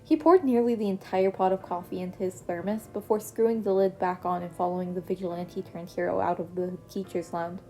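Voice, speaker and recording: Mellow-voiced woman reading a fanfic. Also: on the low side, steady tone, brisk, few pauses, slight background noise. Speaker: podfic reader. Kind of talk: reading fan fiction aloud.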